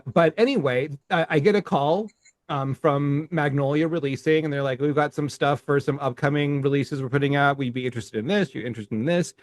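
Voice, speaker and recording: A man's voice: medium pitch, no echo. A middle-aged man co-hosting a movie podcast, rambling casually with restarts and swearing. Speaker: podcast co-host.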